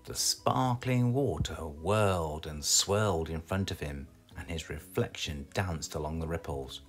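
A British man reading a children's storybook aloud in an expressive, scripted storytelling style. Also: extremely low pitch, a little slow, soft background music. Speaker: storybook reader.

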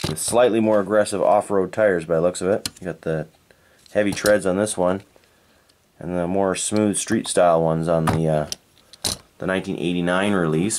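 Low-voiced man musing over toy cars. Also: slight background noise. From Canada. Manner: relaxed, unhurried, thinks aloud and corrects himself. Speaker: toy collector reviewing cars. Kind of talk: unscripted hands-on toy review. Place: indoors at a tabletop diorama.

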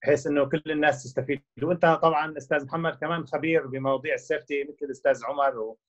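A man's voice: on the high side, fairly even intonation, very fast. A man, an event organizer, responding with praise in an online meeting. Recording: dull lo-fi call audio.

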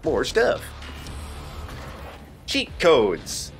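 A man's voice: a little high, wildly swooping pitch, very slow, faint music behind. A man hosting a let's play with casual, conversational, explanatory commentary.

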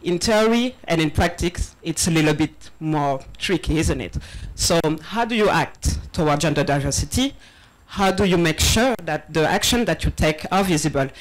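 A woman's voice: low-pitched, animated, moderate pace, poor audio. Nervous woman speaker from Senegal. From Senegal, raised in France. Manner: nervous, laughing between points, many ums. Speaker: conference speaker on diversity hiring. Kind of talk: conference talk with slides. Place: large event space, partly open-air, with audience. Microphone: handheld microphone.

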